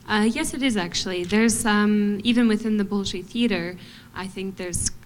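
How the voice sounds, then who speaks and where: A young adult woman from Montana, a ballet artist answering on a panel in a thoughtful, measured way with frequent pauses and fillers. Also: mid-range voice, slight background noise. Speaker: guest artist, ballet dancer.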